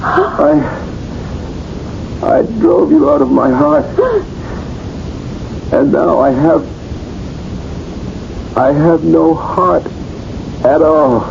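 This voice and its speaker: An actor playing an anguished, broken man in a 1970s radio drama, speaking in a halting, drawn-out way. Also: lively intonation, vocal fry, heavily acted. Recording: muffled, noisy 1970s radio recording with rumble.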